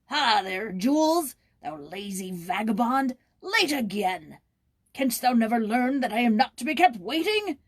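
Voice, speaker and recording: A woman voicing a gruff, bullying French male caretaker in a storybook, bellowing and scolding in old-fashioned speech. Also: a little high, very animated, unhurried, dry recording, studio quality, pitched above the performer's own voice. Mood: bellowing, angry. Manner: bellowing, scolding, archaic thee-and-thou speech.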